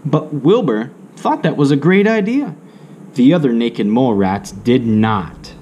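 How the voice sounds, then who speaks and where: A man reading a children's story aloud with measured, theatrical expression. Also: mid-range voice, expressive, darkish tone, a little hiss or hum.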